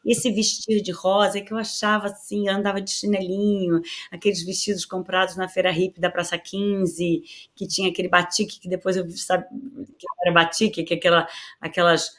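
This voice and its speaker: A woman with a softened Carioca accent, telling stories in a lively way with many restarts as the guest in an interview. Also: fairly low pitch, brisk. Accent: Carioca Brazilian Portuguese, softened. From Rio de Janeiro, Brazil. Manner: animated storyteller, many restarts and laughs. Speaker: guest, actress. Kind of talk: relaxed interview conversation.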